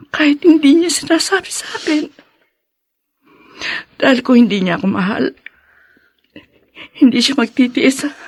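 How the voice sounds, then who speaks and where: A tender, ailing mother in a radio drama. Her soft voice is husky and creaky, and it rises and falls widely with feeling. She speaks haltingly, like someone saving her strength. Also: sits high, full character voice. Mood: tender, wistful. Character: ailing, tender adoptive mother, a widow, gently teasing.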